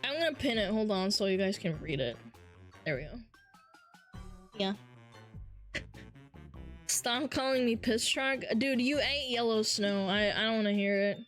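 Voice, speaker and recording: A woman's voice: mid-range voice, animated, a little slow, pauses often, fairly bright tone, soft background music. A woman with a nasal voice, chatting casually on a livestream and reading viewer comments in a playful, teasing way. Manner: playful, teasing banter with frequent laughs.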